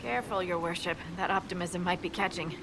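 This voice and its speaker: A woman's voice: lowish voice, fast talker, background noise, close to an everyday voice. A woman voicing a wry, friendly scout. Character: friendly female scout, dry humor.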